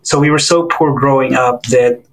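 A man in his forties from the Philippines, relaxed as he tells his life story as an interview guest. His pitch sits in the middle for a man, and he talks with easy fillers and restarts. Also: a little slow, vocal fry, a little hiss or hum, low-quality recording.

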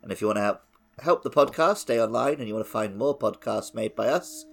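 A man's voice: mid-range voice, a little fast, vocal fry. A man co-hosting a casual game podcast, jokey and self-mocking as he reads the promos. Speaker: podcast co-host.

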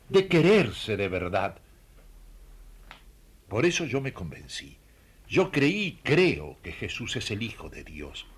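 A man's voice: on the low side, husky, heavily acted. A man playing a humble, devout ancient Judean traveler in a radio play, hesitant, respectful and earnest.

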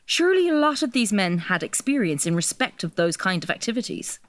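A woman's voice: mid-range voice, big pitch swings, brisk, close to an everyday voice. An actress playing a probing, sceptical woman questioner in a radio drama, pressing for answers.

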